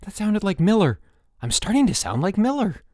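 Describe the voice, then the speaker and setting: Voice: mid-range voice, huge pitch swings, moderate pace, darkish tone, no echo, clean studio sound, pitched above the performer's own voice. A narrator voicing an exasperated, sarcastic young male camp counselor in a storybook reading, worked up and growly. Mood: sarcastic, anxious.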